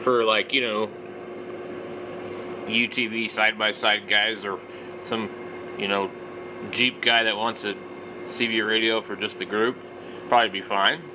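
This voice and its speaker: A man's voice: lowish voice, level tone, a little slow, pauses often, background noise. A man in his forties giving casual, off-the-cuff product commentary, rambling and thinking aloud. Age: 40s. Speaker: vlogger, radio hobbyist.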